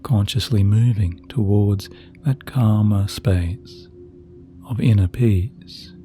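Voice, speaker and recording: A man narrating a sleep meditation in a soft, gentle, soothing voice with slow, measured phrasing. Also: lowish voice. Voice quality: soft, very dark and mellow. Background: relaxing background music.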